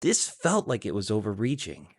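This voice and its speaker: A man's voice: on the low side, huge pitch swings, moderate pace, breathy edge, no echo, studio quality. A man reading scripted fan fiction as the narrator, telling the story in prose.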